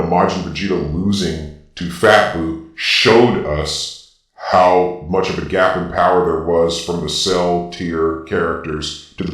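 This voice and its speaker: A man speaking through a robotic villain-style voice filter, giving informal, opinionated commentary and explaining his reasoning point by point with emphasis. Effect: deep robotic Darth Vader-style voice filter. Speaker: video host. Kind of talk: informal opinionated ranking commentary, partly improvised. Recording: very echoey, distant from the mic.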